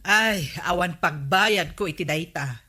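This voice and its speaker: An actress with an Ilocano accent playing a thrifty, disapproving village captain's wife who gossips matter-of-factly in comedy radio drama style. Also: female voice, fairly low pitch, a little slow, pushed and bright, husky, full character voice. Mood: reluctant, firm.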